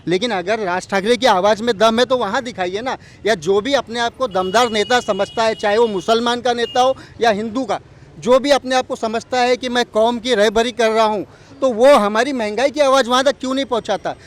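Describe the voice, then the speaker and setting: High voiced guy giving his opinion on the street, pretty argumentative, bit of traffic noise. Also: steady tone, normal speed. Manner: emphatic, argumentative, rhetorical questioning. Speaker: local community representative interviewed on the street. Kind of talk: street interview, opinion on politics. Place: outdoors on an urban street.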